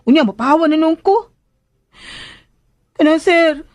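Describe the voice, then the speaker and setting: An actress playing a cheeky, pleading young caretaker in a radio drama, blurting things out in hesitant, chatty back-talk. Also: female voice, sits high, animated, measured pace, a little mellow, no room sound, clean studio sound. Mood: apologetic pleading.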